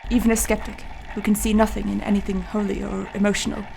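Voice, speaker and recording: An actress playing a reserved, educated doctor in a radio play, with a formal, measured delivery. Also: female voice, mid-range voice, little pitch movement, a little mellow, rough recording. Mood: sober, moved. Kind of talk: radio play acting and narration. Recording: clear background ambient noise.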